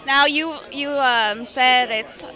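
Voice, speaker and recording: A woman's voice: high-pitched, slow, bright, forceful tone. A young woman hosting a red-carpet interview outdoors on a handheld microphone, with light crowd noise behind her. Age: young adult. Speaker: interviewer, on-camera host. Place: outdoor press backdrop at a theme park at night. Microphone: handheld reporter microphone.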